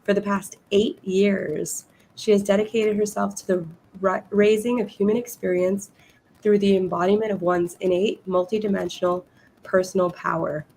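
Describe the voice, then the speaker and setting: A woman hosting a podcast interview, a little hoarse and losing her voice, chatting eagerly with her guest. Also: mid-range voice, fairly even intonation, normal speed, darkish tone, a little hiss or hum, low-quality recording. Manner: enthusiastic, reads guest bio aloud. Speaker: podcast host. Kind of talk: casual interview.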